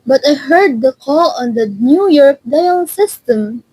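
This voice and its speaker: Girl acting out a high-pitched, fretful bedridden wife. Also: expressive, slow pace, warm tone, dry recording, poor audio. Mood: flustered, fearful. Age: teenager. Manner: fretful, insistent, stammering. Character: anxious, demanding, self-pitying bedridden wife. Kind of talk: radio suspense drama acting.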